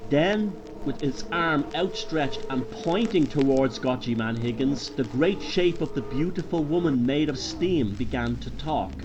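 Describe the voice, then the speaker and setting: A man's voice: medium pitch, lo-fi sound, background noise. A man with an Irish accent narrating a scripted horror story in a dramatic storytelling style. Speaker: author and narrator. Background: music.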